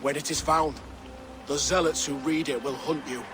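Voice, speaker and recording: A man's voice: fairly high pitch, steady tone, normal speed, lightly acted. An actor playing a proud, fatalistic thane in a video game, solemn and defiant in his delivery. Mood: solemn, urgent. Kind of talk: dramatic video game acting. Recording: dramatic music behind the voice.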